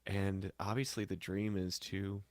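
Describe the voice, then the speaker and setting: A man's voice: low-pitched, little pitch movement, unhurried, no room sound. A man who works as a musician and voice actor, talking casually and reflectively as an interview guest.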